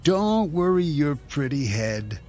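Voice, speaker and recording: Placating husband, lively intonation. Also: male voice, fairly high pitch, takes it slowly, soft background music. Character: attentive, placating husband, smoothing things over. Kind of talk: radio drama acting.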